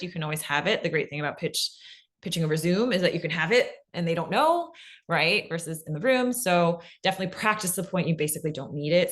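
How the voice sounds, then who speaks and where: Lowish-voiced woman doing a casual workshop q&a, encouraging, says like and right a lot. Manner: encouraging, peppers talk with "like" and "right?". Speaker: presenter answering audience questions. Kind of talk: informal workshop talk with Q&A.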